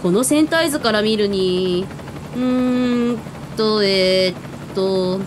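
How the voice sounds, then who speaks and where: A woman's voice: slow pace, natural voice. A woman playing a brash, bossy owner who commands a mission in a radio drama, with a blustering manner. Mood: flustered. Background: music, helicopter rumble.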